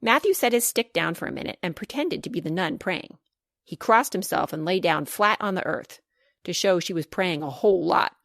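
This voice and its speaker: A woman reading a children's storybook aloud as scripted narration, expressive and clearly paced. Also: on the low side, moderate pace, dry recording, clean studio sound. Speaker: author reading her children's book.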